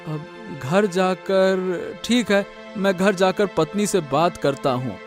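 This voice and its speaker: A man's voice: high voice, takes it slowly, faint music behind. A man voicing a humble, worried village servant in a cartoon drama, deferential and hesitant. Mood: worried, respectful. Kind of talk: Hindi cartoon family drama.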